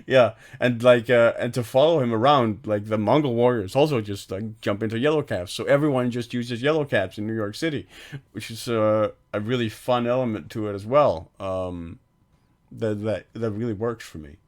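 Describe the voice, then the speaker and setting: Low-voiced man chatting about films. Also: normal speed. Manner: rambling, many fillers and restarts. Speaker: film podcast co-host. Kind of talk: casual film discussion.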